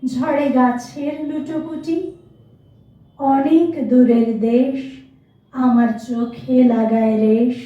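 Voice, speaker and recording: A woman reciting a poem expressively, with theatrical, measured, lilting phrasing. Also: high-pitched, dark tone. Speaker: poetry reciter. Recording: echoey room, distant muffled mic.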